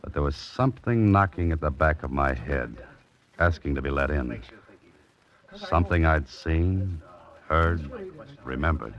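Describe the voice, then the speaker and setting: Very deep, laconic American detective. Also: male voice, expressive, a little slow, long pauses, heavily acted. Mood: pensive. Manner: clipped, laconic, understated. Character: cool, wry amateur detective narrating his mystery. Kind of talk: 1940s radio drama narration and acting. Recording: dull old radio recording.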